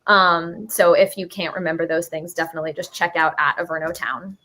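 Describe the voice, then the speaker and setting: A woman's voice: lowish voice, fairly even intonation, brisk, bright, forceful tone, a little hiss or hum. A young woman chatting casually and enthusiastically as an interview guest, gushing with informal slang. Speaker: musical writer, interview guest.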